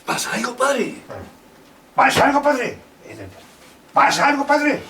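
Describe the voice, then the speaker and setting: A man with an Argentine accent acting a rough, protective tough in a radio drama. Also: extremely high pitch, lively intonation, takes it slowly, pushed and bright, roomy sound, distant mic, full character voice. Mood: confrontational. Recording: off-mic in a room.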